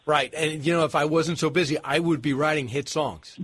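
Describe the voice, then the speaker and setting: A man hosting an interview, jokey and quick to laugh. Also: on the high side, fairly even intonation, fairly bright tone, husky. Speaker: host. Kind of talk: casual interview.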